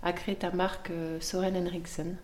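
Woman, interviewer, lowish voice, friendly prompting questions, casual. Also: little pitch movement, fairly quick, darkish tone.

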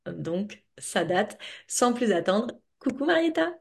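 A woman hosting a podcast interview in a casual, chatty and expressive way, speaking informally as a coach. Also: medium pitch, takes it slowly, creaky. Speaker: podcast host and money coach.

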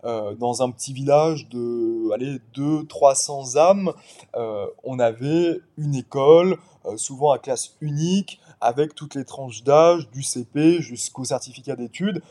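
A man in his twenties from the Paris suburbs, a novelist talking through his book in a casual interview, thoughtful and pausing often as he explains. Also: mid-range voice, dark tone. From Paris suburbs, France. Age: 20s. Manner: thoughtful, explains at length with frequent pauses. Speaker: novelist guest.

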